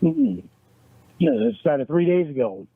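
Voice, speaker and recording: A man's voice: fairly high pitch, wildly swooping pitch, a little slow, vocal fry, no echo. A man arguing on a phone call, repeating accusations and stumbling over his words. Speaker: caller in a recorded phone argument. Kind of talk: heated phone argument. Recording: tinny dry phone line.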